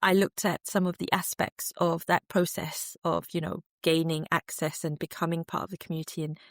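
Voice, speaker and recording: A woman answering interview questions as a researcher, thoughtful and measured with frequent fillers. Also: on the low side, level tone, dry recording, studio quality. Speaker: guest researcher.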